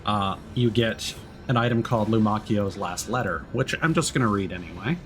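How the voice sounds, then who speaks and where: A man's voice: lowish voice, normal speed, slight background noise. A man giving casual live-stream game commentary, musing and thinking aloud as he plays.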